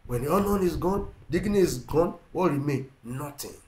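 A middle-aged man with a Ugandan English accent preaching a sermon, emphatic and repetitive, swinging between loud and quiet. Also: on the high side, animated, normal speed, echoey room, slight background noise. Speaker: pastor. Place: indoor room with plain wall. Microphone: seated facing camera.

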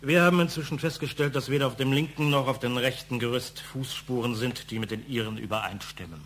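A man's voice: medium pitch, fairly quick, a bit bright, slight background noise, natural voice. A man acting in a German audio drama as a stern, unruffled police inspector with a formal, accusatory way of talking. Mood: cool, factual.

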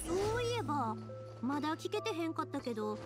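A woman voicing a cheerful, warm-hearted young woman in an anime game, with a Kansai accent and a bubbly, expressive delivery. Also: high-pitched, fairly quick, loud music behind the voice. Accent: Kansai Japanese.

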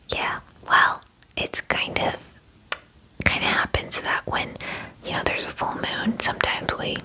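Slow, breathy whispering young woman. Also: rough recording. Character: friendly young female werewolf salon groomer. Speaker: ASMR roleplay performer. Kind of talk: whispered ASMR personal-attention roleplay. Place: small indoor room at home. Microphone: close microphone, face near camera.

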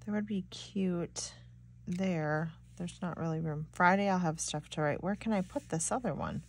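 Chatty, slightly stuffy woman, a bit low. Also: normal speed, slight background noise. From Michigan. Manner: rambling, chatty, many "like"s, talks to viewers. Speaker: planner vlogger. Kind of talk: casual chatty voice-over while decorating a planner.

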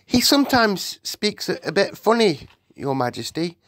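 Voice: mid-range voice, big pitch swings, normal speed, a bit bright, no echo, voice pushed up. A storybook reader voicing a polite, eager little girl. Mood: apologetic.

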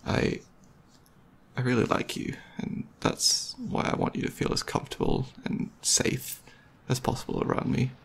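ASMR roleplay boyfriend, male actor: mid-pitched, gravelly, goofy, caring, hesitant, intimate casual delivery. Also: big pitch swings, normal speed, exaggerated voice. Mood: earnest, hesitant. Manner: hesitant, rambling, playful. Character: goofy, caring, slightly nervous boyfriend on a movie date.

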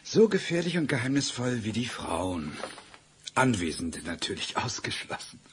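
An actor playing a suave, sinister orchid grower in a radio play, theatrical, with noticeable noise behind him. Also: male voice, medium pitch, very animated, moderate pace, close to an everyday voice. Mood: silky, musing. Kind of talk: German radio play acting.

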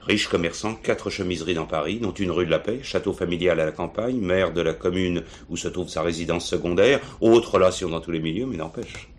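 An actor playing a blunt, dogged French police inspector in a radio play, gruff and slangy in manner. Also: male voice, low voice, a little fast, hardly any pauses. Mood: assured, insistent.

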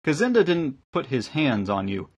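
Man performing eager lay preacher, kindly male engineer, hearty, welcoming, husky, audiobook character. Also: fairly high pitch, sing-song, normal speed, voice pushed up. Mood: earnest. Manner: hearty, welcoming, gently correcting.

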